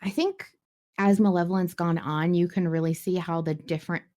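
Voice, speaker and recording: A woman's voice: fairly low pitch, steady tone, normal speed, dark tone. A woman co-hosting a podcast, chatting casually and expressively with enthusiasm, swearing now and then. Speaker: podcast co-host discussing a horror audio drama. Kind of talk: casual fan discussion.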